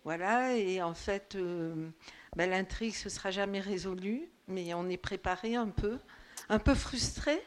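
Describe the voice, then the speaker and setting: A woman's voice: mid-range voice, measured pace. A woman in the audience sharing her reading impressions earnestly, searching for words. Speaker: audience member.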